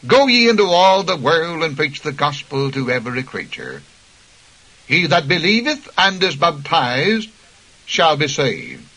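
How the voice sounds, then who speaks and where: A man's voice: on the high side, pushed and bright, husky, poor audio. A man preaching a radio sermon with impassioned, emphatic delivery, citing scripture chapter and verse.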